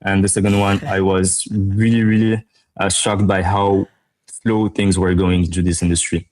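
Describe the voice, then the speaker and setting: A man from Morocco with a deep voice and a narrow, steady pitch range. He talks as a company founder in an interview, explaining his business conversationally and repeating words for emphasis. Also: normal speed. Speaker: startup co-founder being interviewed.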